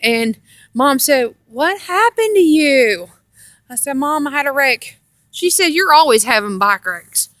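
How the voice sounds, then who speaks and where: A woman casually telling rambling personal stories in a halting, meandering way, often repeating herself. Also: sits high, animated, fairly bright tone, no room sound, studio quality. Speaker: storyteller on own video channel.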